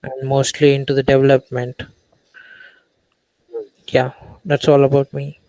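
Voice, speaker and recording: A man's voice: medium pitch, monotone, measured pace, darkish tone, no room sound, poor audio. A man from India, a developer, introducing himself on a video call. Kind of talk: casual self-introduction.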